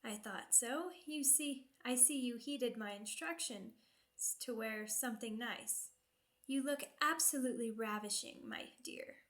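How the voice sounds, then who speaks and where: A woman's voice: on the high side, measured pace, a bit bright. A woman playing a seductive, sadistic vampire noblewoman in an ASMR roleplay, speaking formally and teasingly, savoring each phrase. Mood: gracious, admiring.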